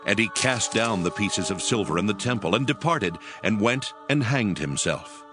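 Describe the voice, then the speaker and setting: A man narrating scripture in a steady, formal reading style. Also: lowish voice, moderate pace. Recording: narration over music.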